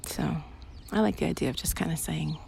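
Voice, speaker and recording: A woman's voice: low voice, fairly quick, gravelly, a little mellow. A woman chatting casually and intimately, as if to a partner, in a rambling, hesitant, giggly way while out on a bike path. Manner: giggly, hesitant, trailing phrases. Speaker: audio roleplay creator playing a loving girlfriend. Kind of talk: casual rambling chat, intimate one-sided companion talk. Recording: noisy outdoor ambience, passing vehicles. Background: traffic and environmental noise.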